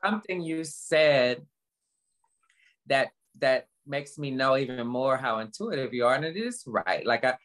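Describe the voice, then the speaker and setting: A woman in her fifties talking candidly as a guest, earnest and halting, often repeating phrases for emphasis. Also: very deep voice, expressive. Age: 50s. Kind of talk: candid conversation, motivational talk.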